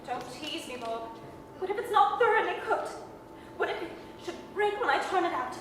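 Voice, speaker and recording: A woman plays a fretful Victorian mother with a high voice and a sharp tongue. It is a radio-style drama in a noisy, very echoey hall. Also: lively intonation, normal speed. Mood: flustered. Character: anxious, sharp-tongued Victorian working-class wife and mother. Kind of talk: 1930s radio-style staged reading. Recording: echoey hall, distant mic, clear noise.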